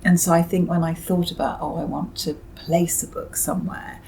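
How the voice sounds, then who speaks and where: A woman's voice: fairly low pitch, little pitch movement, normal speed, darkish tone, a little hiss or hum, rough recording. A middle-aged or older British woman giving thoughtful, somewhat hesitant interview answers as a novelist.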